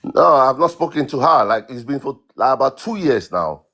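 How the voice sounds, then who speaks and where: A man from Cameroon, a guest on a livestream, telling his story in a halting way with repeated words and many fillers. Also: mid-range voice, big pitch swings, bright, forceful tone. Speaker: guest caller. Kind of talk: casual account on a livestream.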